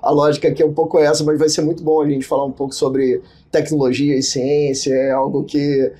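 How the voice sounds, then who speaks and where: A man's voice: a little high, level tone, a little fast, warm tone, husky. A man co-hosting a podcast, chatting casually and often tagging his phrases with little questions. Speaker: podcast co-host. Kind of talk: casual chat.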